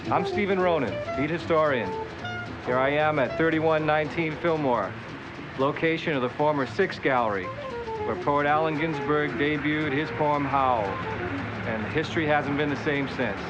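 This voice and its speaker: A man's voice: on the high side, normal speed, dull audio, music in the background. A man, a historian, giving a formal presentation to camera on location.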